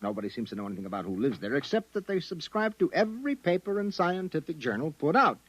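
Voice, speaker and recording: A brusque American private investigator in a 1950s radio drama. The voice is husky and swings widely in pitch, with a slangy, commanding delivery in a dry, close sound. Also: male voice, big pitch swings, fast talker, dry recording. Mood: businesslike. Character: brusque, wary male private investigator boss.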